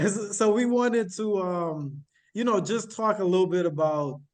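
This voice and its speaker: A man's voice: high-pitched, measured pace. A man hosting a counseling podcast, asking loose, rambling questions full of fillers. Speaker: podcast host. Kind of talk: casual interview hosting.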